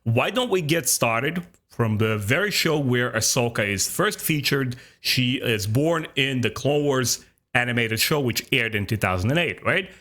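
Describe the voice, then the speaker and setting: A man's voice: mid-range voice, animated, a little slow, few pauses, pushed and bright. A man in his thirties hosting a casual fan podcast, talking with enthusiasm. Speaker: podcast host.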